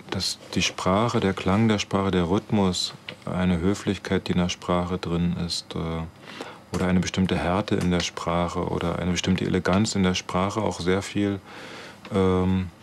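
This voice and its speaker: A man in his forties from Germany giving a reflective interview as a director, speaking in measured, halting short phrases. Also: deep voice, flat delivery, creaky, slight background noise. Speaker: radio drama director. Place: indoors, radio studio control room.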